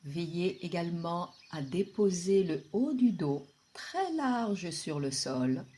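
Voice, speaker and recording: A woman guiding a deep relaxation meditation in a calm, soothing, unhurried way, with long pauses. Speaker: yoga nidra guide.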